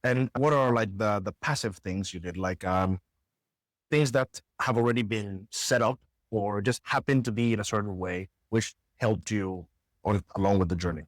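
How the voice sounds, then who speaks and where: A man's voice: on the low side, normal speed, dry recording. A man hosting a podcast interview, asking loose, rambling questions full of fillers. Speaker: podcast host. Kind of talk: casual interview conversation.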